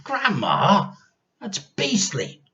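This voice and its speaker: A man's voice: high-pitched, wildly swooping pitch, extremely slow, gravelly, bright, forceful tone, highs cut off, pitched above the performer's own voice, heavily acted. A man voicing a polite, squeamish little boy in a storybook, protesting in disgust. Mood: appalled.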